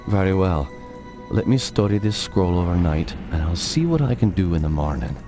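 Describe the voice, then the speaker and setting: An actor playing a stoic war veteran who investigates the supernatural, with terse, serious questions in a game cutscene. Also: male voice, low-pitched, lively intonation, normal speed, over music. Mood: serious, inquiring. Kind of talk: dramatic game cutscene acting.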